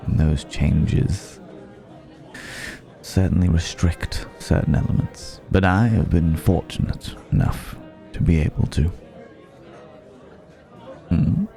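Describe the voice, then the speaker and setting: A man playing a suave, courteous vampire in an intimate ASMR roleplay, speaking in a refined, formal, gently amused way with lingering pauses. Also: low voice, vocal fry, soft background music, heavily acted. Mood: reflective, confiding. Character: suave, courteous male vampire dining with a mortal guest.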